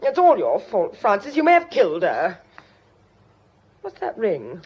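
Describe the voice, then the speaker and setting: An actress playing a nervy, self-pitying British wife in a radio drama, plaintive, reproachful and overwrought. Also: female voice, mid-range voice, wildly swooping pitch, a little slow, husky, highs cut off, exaggerated voice. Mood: reproachful, shocked. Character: nervy, fretful, self-pitying married lady.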